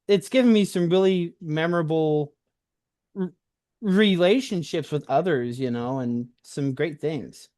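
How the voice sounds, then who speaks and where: A man's voice: on the high side, a little slow, darkish tone, no echo, studio quality. A man in a casual conversational interview, talking earnestly as a host who shares his own experience and often checks for agreement.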